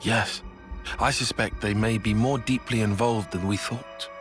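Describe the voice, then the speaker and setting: A man's voice: fairly low pitch, fairly even intonation, a little slow, lightly acted. An actor playing an analytical young male soldier in a video game, speaking in a formal, precise way. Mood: grave, reasoning. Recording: loud music behind.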